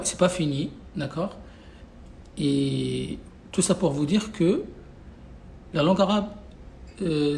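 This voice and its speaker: A man's voice: on the high side, creaky, a little mellow, a little hiss or hum. A man whose first language is Arabic, teaching a live online class in a casual, insistent way, repeating himself with many fillers and restarts. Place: away from home, no whiteboard.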